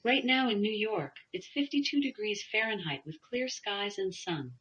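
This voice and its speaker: A woman's voice: medium pitch, animated, normal speed, a bit bright. Muffled female voice assistant. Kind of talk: scripted weather forecast. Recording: muffled smart assistant through small speaker.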